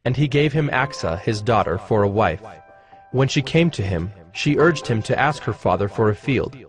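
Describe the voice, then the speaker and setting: Guy narrating bible text, formal and measured, mid pitch, music clearly behind him. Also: lively intonation. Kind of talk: scripted scripture narration.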